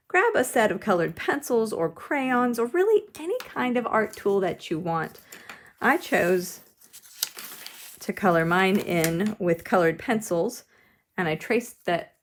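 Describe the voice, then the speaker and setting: Lady doing an art lesson, really slow and gentle, lots of vocal fry, explains it simple like for kids. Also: mid-range voice. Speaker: art teacher leading a drawing lesson. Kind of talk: instructional demonstration, step-by-step.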